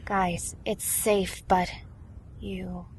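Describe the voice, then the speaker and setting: A woman's voice: medium pitch, little pitch movement, drawn-out words, darkish tone, slight background noise, poor audio. A woman playing a young soldier who speaks urgently. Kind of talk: fan dub of a sci-fi anime parody.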